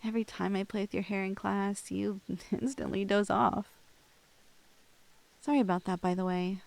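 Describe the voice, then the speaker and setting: A young woman playing a shy, lovestruck cosplayer in an intimate ASMR roleplay, with girlish slangy speech and a halting, bashful manner, close on the mic. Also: mid-range voice, fairly even intonation, gravelly, close to an everyday voice. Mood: tender, resigned. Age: young adult. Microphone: close, dry studio-style microphone.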